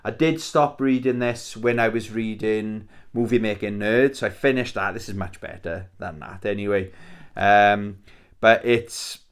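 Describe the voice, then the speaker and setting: A man's voice: fairly low pitch, measured pace, clean tone. A man in his forties with a thick Welsh accent, chatting casually and rambling through his week in a personal vlog, with many fillers and restarts. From Wales. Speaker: YouTuber. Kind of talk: casual weekly roundup vlog.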